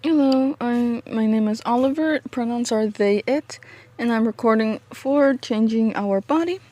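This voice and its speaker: A woman's voice: a little high, a little slow, mellow, dry recording. A female-sounding listener recording a casual but prepared voice message, giving opinions in an earnest, reflective way.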